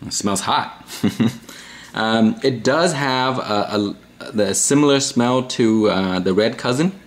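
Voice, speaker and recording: A man's voice: mid-range voice, takes it slowly. A man in his thirties hosting a casual pepper-review vlog, talking easily with lots of ums, uhs and restarts, filmed at a table indoors. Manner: easygoing, rambling with many fillers and restarts. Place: small indoor room at a table.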